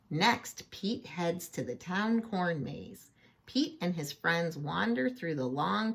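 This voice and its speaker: A woman tells a picture-book story to children at an unhurried pace. Her voice is husky and swings widely in pitch, and she is playful, often breaking off for chatty asides. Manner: playful, expressive, asks the listener questions.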